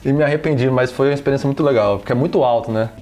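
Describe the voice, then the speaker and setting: A man's voice: mid-range voice, rapid-fire, darkish tone, soft background music. Hesitant Brazilian man hosting a chat. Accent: Brazilian Portuguese. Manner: hesitant, frequent restarts and tag questions. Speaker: podcast host. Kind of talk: casual interview chat.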